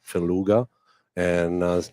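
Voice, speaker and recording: A man from near Venice with an Italian accent, a restaurant chef talking in a casual interview, in halting non-native English. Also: sits low, flat delivery, mellow, dry recording, clean studio sound. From northeast Italy, near Venice.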